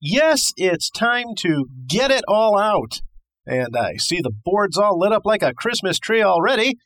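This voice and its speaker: An actor playing a sarcastic, easily exasperated radio call-in host in a radio play. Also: male voice, high-pitched, big pitch swings, unhurried, a bit bright, exaggerated voice. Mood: slick, dismissive. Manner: wisecracking, theatrical. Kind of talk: radio play acting as talk show host.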